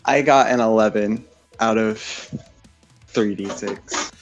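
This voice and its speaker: A man's voice: on the low side, slow, soft background music. Slightly muffled man, casual gamer chat. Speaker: player in a tabletop game. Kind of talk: casual improvised game chat.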